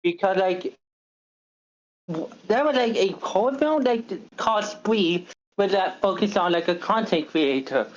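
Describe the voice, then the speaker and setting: A woman giving casual, enthusiastic feedback in a halting way, over dull online meeting audio. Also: medium pitch, lively intonation. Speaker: table read participant giving feedback. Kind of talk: casual chat.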